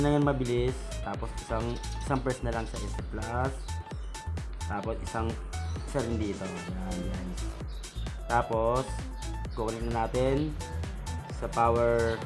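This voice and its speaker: A Filipino man talking casually and informally to viewers as a vlogger giving a hands-on product demo. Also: mid-range voice. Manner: informal, chatty, addressing viewers.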